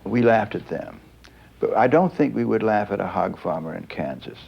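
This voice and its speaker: A man's voice: on the low side, lively intonation, normal speed, gravelly, slightly rough, lo-fi sound. A man reflecting in a documentary interview, articulate and hesitant as he picks his words. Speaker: photojournalist.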